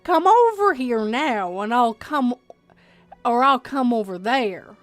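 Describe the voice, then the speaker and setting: Woman putting on an aggressive, loud Southern man. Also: high voice, lively intonation, normal speed, faint music behind, pitched above the performer's own voice. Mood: aggressive, demanding. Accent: American Southern. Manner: demanding, insistent. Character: aggressive, pushy Southern man, a menacing stranger.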